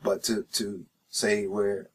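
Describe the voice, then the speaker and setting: A man's voice: fairly low pitch, flat delivery, slow pace, slightly rough. A man with a slight Jamaican-sounding lilt, answering interview questions in a recording studio and pronouncing his words carefully, with many "you know"s. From New Jersey, raised in North Carolina. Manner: careful enunciation, frequent "you know" and restarts. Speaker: reggae singer-songwriter, interview guest.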